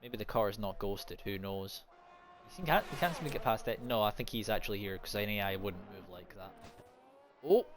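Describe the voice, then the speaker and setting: A man with a naturally quiet voice giving live, unscripted sim racing commentary, mixed with casual chat. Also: on the low side, brisk. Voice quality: soft-spoken, naturally quiet voice. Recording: noisy home stream microphone.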